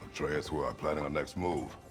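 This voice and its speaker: A man playing a stern, guarded warrior father in a video game, with terse formal speech and a deep gravelly voice. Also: brisk, soft background music.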